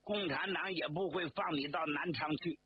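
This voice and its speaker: A man's voice: on the high side, normal speed, slightly rough. A man voicing an angry, defiant army officer, blunt and scornful, in a told war story. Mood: furious. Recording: dull lo-fi sound, faint noise.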